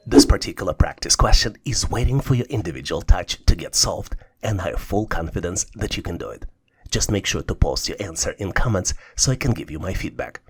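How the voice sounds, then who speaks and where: Man, low voice, test-prep instructor, encouraging scripted tutorial narration, noticeable background noise. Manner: encouraging, addresses the listener directly.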